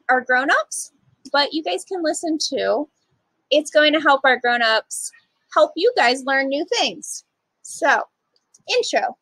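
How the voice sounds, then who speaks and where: A woman with a high-pitched voice reads a picture book aloud to young kids. Her intonation swings widely and expressively, and she addresses her little listeners directly. The sound is dry and close, with very little room in it. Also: a bit bright, dry recording, rough recording. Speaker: children's storytime reader. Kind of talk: read-aloud to young children.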